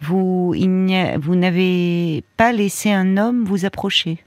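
A woman hosting a call-in advice radio show, gently probing and rephrasing what her caller says. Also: on the low side, slow, no room sound, studio quality. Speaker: radio host.

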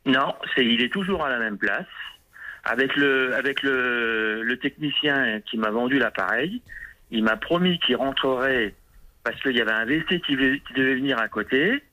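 A man's voice: mid-range voice, pushed and bright, poor audio. A man calling in with a complaint, insisting on his version of events and stumbling over his words. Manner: insistent, halting. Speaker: caller with a complaint.

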